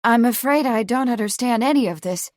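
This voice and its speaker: A woman voicing a reserved, thoughtful lady in an audiobook, speaking in a measured, formal, inquiring manner. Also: on the high side, a little mellow, no room sound, studio quality, close to an everyday voice. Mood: puzzled. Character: reserved, thoughtful, questioning well-bred lady.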